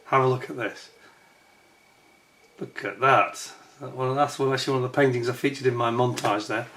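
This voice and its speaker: Croaky older man chatting to camera. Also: mid-range voice, steady tone, moderate pace, background noise. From United Kingdom. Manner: rambling, chatty, with many fillers and restarts. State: croaky voice with a chesty cough. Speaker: YouTube host. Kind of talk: casual chat to camera.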